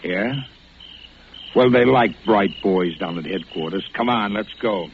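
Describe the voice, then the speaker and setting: An actor playing a hard-nosed police detective, sarcastic, needling and terse, in 1940s radio crime drama style. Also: male voice, fairly low pitch, unhurried, creaky. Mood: curt. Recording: muffled, noisy old radio broadcast.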